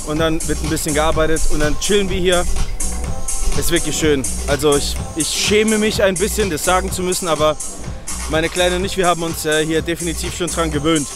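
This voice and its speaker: A man's voice: a little high, fairly even intonation, brisk, over music. A man in his thirties from Germany chatting casually in a slangy vlog, dropping in English phrases. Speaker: fitness vlogger and bodybuilder. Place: on location: beach, massage room, supermarket, kitchen. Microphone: handheld camera at arm's length.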